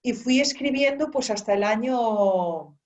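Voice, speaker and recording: A woman guest in an interview, telling a long story in a relaxed, rambling way with many restarts. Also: on the high side, unhurried. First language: Spanish. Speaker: author and guest. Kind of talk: conversational interview. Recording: dull lo-fi sound.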